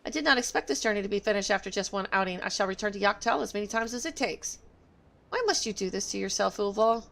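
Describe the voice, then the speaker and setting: A woman's voice: mid-range voice, fast, gravelly, fairly bright tone. A woman game streamer talking casually on a livestream and reading game dialogue aloud. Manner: opinionated, emphatic.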